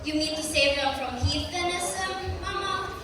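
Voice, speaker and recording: A woman's voice: high-pitched, moderate pace, lightly acted. A teenage girl acting an outspoken, argumentative young daughter in a school play. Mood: arguing. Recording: echoey hall from far off, noisy.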